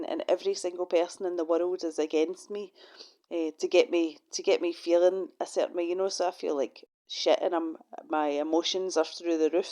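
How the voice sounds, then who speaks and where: A Scottish woman, middle-aged, talks with a slightly low voice in a casual monologue. She swears freely and drops wry asides. Her sentences often stall and restart as she goes. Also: darkish tone. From Scotland. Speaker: vlogger. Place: her room in a shared house.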